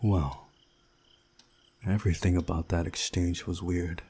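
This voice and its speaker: A man reading a visual novel aloud as a playthrough host, in a measured, deliberate way with pauses. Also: sits low, little pitch movement, dark tone, slight background noise. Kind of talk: scripted narration of a visual novel with commentary.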